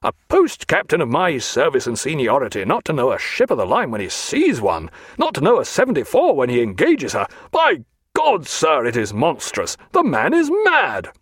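A man voicing a proud naval captain who speaks sternly and forcefully. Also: mid-range voice, sing-song, moderate pace, dry recording, studio quality. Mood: stern indignant.

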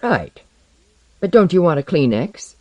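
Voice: medium pitch, sing-song, measured pace, warm tone, no echo, pitched above the performer's own voice. A reader voicing a mild but sour, short-tempered mentor with a dry, matter-of-fact manner. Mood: mild, offhand.

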